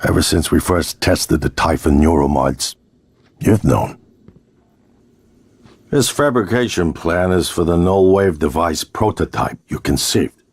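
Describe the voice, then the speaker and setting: A man playing a persuasive, scheming sci-fi executive in a video game, speaking in a measured, earnest way. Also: expressive, no echo, heavily acted. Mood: intent, conspiratorial. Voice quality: low, husky. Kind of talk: dramatic video game acting.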